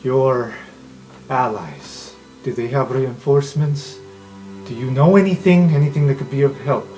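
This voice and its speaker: A man's voice: medium pitch, warm tone, soft background music, lightly acted. A man as an anxious, questioning professor. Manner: halting, urgent questioning. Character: anxious, questioning male professor taking control.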